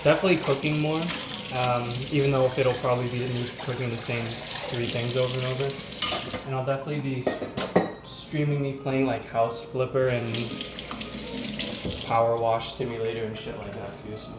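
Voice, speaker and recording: A man's voice: mid-range voice, fairly even intonation, normal speed. Playful man chatting in an echoey room. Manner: playful, teasing, joking. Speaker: livestreamer cooking at home. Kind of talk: casual livestream chat. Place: home kitchen, indoors. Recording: echoey room, music behind.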